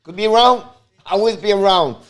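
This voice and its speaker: A man with a Quebec French accent, French his first language, calls the game and chats with viewers on a casual live stream. His voice sits a bit high for a man. He breaks into loud excited outbursts. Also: a little slow. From Montreal, Canada. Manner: talks straight to viewers. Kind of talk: casual live play-by-play and chat.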